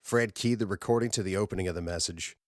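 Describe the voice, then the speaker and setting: A man's voice: sits low, fast, no room sound, studio quality. A man reading scripted science fiction as an audiobook narrator.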